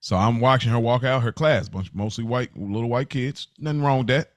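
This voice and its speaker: A man's voice: mid-range voice, a little fast, no echo. A middle-aged American man talking casually and earnestly as a podcast co-host, often repeating words for emphasis. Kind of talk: casual conversational podcast chat.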